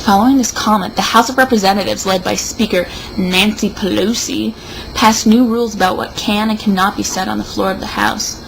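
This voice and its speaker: Tipsy woman with a creaky voice. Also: medium pitch, background noise. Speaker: show host reading an opinion article aloud. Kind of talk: theatrical read-aloud with mocking asides.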